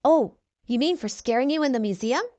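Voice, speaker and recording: Lady reader as a cheeky confident boy hero, high lively voice, kinda insistent, kids storybook. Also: fairly quick, no room sound. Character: cheeky, confident young boy superhero team leader.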